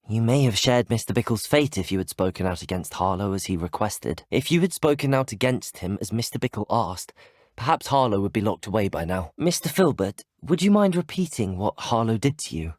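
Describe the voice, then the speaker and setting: A man's voice: fairly low pitch, a little mellow, no room sound, clean studio sound. A man playing a polite, earnest, inquisitive teenage wizard student in a video game, speaking formal, courteous, well-spoken lines. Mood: earnest, questioning. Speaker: player character voice actor. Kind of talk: video game dialogue clips, scripted acting.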